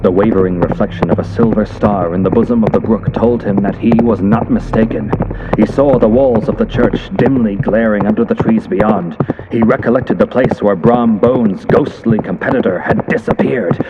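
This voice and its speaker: Male narrator, low register, classic radio horror style, theatrical and suspenseful, dull audio with loud effects behind. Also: normal speed, heavy background noise. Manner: theatrical, suspenseful, emphatic storytelling. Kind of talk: dramatic scripted narration in the manner of classic radio horror drama. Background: loud sound effects of ambience and horse hooves.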